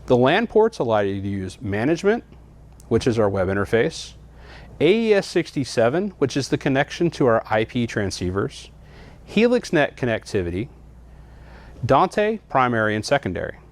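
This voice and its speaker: A man's voice: mid-range voice, very animated, moderate pace. A man giving a scripted, methodical product walkthrough in an instructional way, as a trainer would.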